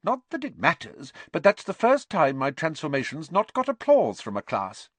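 Man as older woman teacher, stern, raised pitch, clipped, exasperated, animated, storybook. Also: normal speed, dry recording, exaggerated voice. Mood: put out.

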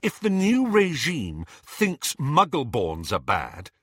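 A man reading a storybook, voicing an angry teenage boy with a sharp, cutting, scornful manner. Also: on the high side, huge pitch swings, slow, slightly rough, no echo, studio quality, voice pushed up, heavily acted. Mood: furious.

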